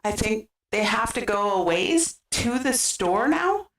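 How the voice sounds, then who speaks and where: A woman's voice: a little high, animated, takes it slowly, fairly bright tone. A woman with a western Canadian accent, chatting casually and giggly as she livestreams games. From British Columbia, Canada. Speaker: gaming streamer. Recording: roomy, distant microphone sound.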